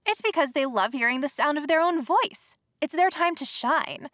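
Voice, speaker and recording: An actress playing a cheeky, confident young niece in a comedy audio drama, sassy and slangy. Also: female voice, high-pitched, lively intonation, normal speed, a bit bright, no echo, clean studio sound. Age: young adult.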